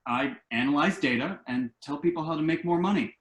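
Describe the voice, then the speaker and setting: A man's voice: fairly high pitch, brisk, close to an everyday voice. A man acting a self-assured, glib son who earnestly explains his schemes in a table-read play, recorded in an echoing room. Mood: earnest, confident. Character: glib, enterprising grown son pitching shady business schemes. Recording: roomy, echoing home recording.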